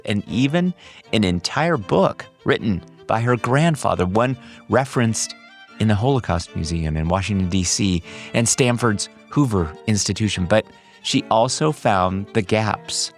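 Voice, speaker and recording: A male podcast host reading a measured, emphatic introduction, then chatting with his guest. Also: fairly low pitch. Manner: measured, emphatic rhetorical delivery. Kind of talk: scripted intro then interview chat.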